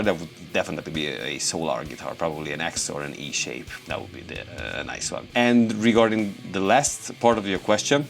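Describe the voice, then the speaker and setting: A Hungarian man with a Hungarian accent, a guitarist hosting a casual question-and-answer video, relaxed and rambling with many fillers. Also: sits low. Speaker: guitarist and YouTube host. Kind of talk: casual Q&A to camera.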